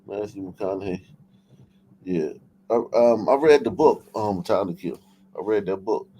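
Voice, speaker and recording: A man's voice: lowish voice, a little slow, mellow, rough recording. A man hosting a livestream in casual, profane chat, often repeating and restarting his phrases. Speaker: livestream host. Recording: clear background noise.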